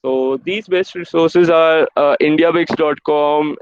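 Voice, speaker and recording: Halting young man on a muffled call. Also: on the high side, steady tone, clean tone. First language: Hindi and Urdu. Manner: halting, with many "uh" fillers, encouraging. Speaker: webinar speaker. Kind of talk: motivational career-advice talk. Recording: dull lo-fi online call audio.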